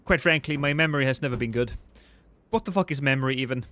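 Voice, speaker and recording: A man in his thirties chatting casually and profanely with viewers on a live stream, rambling and joking at his own expense. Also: fast. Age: 30s. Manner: rambling, self-mocking, profane; swings between loud excited bursts and low mumbles.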